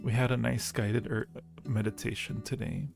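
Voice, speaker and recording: A man's voice: medium pitch, steady tone, moderate pace, creaky, darkish tone. A man hosting a livestream, chatting casually and playfully with viewers and speaking to them affectionately. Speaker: livestream host and audio roleplay creator. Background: background music.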